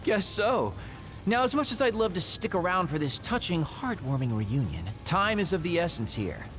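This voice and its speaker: A man's voice: high voice, big pitch swings, normal speed, over music. A man playing a sly, sardonic young lone wolf in an English-dubbed anime game. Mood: wry. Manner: wry, sardonic.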